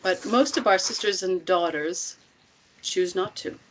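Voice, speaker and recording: A woman playing an older, self-assured commune matriarch in a script table read, speaking in a measured, deliberate way with pointed rhetorical questions. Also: fairly low pitch, a bit bright, low-quality recording. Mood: calm, assured.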